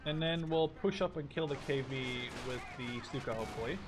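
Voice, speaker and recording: A man's voice: mid-range voice, fairly quick. A man in his twenties giving casual, sweary let's play commentary, thinking aloud as he plays. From Canada or the Netherlands. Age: 20s. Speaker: gaming video host. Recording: game gunfire and vehicle noise behind. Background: war game artillery, gunfire and vehicles.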